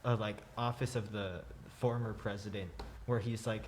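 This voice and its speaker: A young man chats casually on a panel in a somewhat low voice. He talks haltingly, with plenty of "like", over faint background noise. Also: steady tone. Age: young adult. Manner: hesitant, peppered with "like". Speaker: youth podcast panelist. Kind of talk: casual chat.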